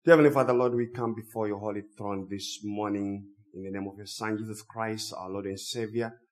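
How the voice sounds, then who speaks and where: A man's voice: fairly low pitch, steady tone. A man from Zimbabwe, for whom English is a second language, preaching a sermon as a guest speaker while he has a cold. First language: not English. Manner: slow, drawn-out emphatic phrasing.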